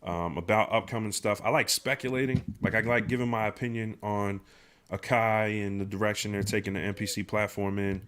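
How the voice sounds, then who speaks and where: A man's voice: lowish voice, fairly even intonation, a little fast, fairly bright tone, clean tone. A man hosting a live stream in casual chat, nasal from a sinus infection, laid-back and slangy, with lots of fillers and restarts. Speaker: livestream host.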